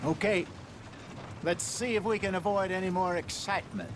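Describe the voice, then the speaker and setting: An actor playing a genial, dry-witted old outlaw in a video game, talking in an easygoing way. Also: male voice, sits high, steady tone, normal speed. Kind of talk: naturalistic video game acting. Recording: very noisy outdoor game ambience, horse hooves.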